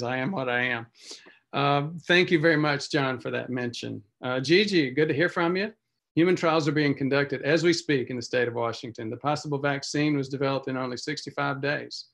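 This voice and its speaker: Man in his sixties, doctor host, fairly high voice, casual and self-deprecating, video call mic. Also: vocal fry, fairly bright tone. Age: 60s. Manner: conversational, self-deprecating, reads comments aloud. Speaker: physician host. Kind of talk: casual video update answering viewer comments. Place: home office on video call. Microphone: video call webcam mic.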